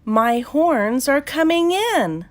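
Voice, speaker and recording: A young goat girl from a children's storybook, played by a woman reader. She is wistful and eager, with a childlike, plaintive manner and slow, drawn-out delivery. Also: sits high, very animated, voice pushed up, full character voice.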